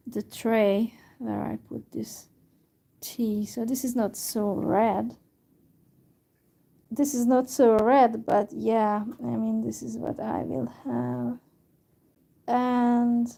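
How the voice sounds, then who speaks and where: A young woman from Central Europe chatting casually to her viewers in a halting way, with many fillers and restarts. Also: on the high side, vocal fry, warm tone. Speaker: vlogger. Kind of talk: casual solo chat to viewers.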